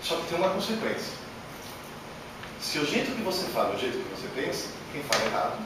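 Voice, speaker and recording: A man giving a workshop lecture to an audience, animated and emphatic, with many rhetorical questions, speaking Portuguese as a learned language. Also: on the high side, fast. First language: not Portuguese. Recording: echoey hall from far off, noisy.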